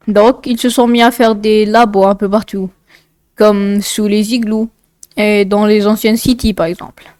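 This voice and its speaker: Female video narrator, informal and digressive, clear tone but nasally congested, recorded close and dry. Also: medium pitch, darkish tone, no echo. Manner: informal, rambling, often digressing. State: congested, blocked nose. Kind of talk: casual explainer narration with asides.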